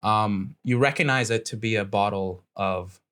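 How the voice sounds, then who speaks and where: A man's voice: lowish voice, normal speed, fairly bright tone, clear, resonant tone. A man working as game master, improvising tabletop narration in a casual, conversational way.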